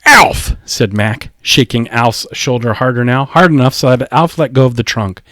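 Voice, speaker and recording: Male audiobook narrator, mid-range voice, expressive scripted storytelling, close dry recording. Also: mid-range voice, moderate pace, no echo.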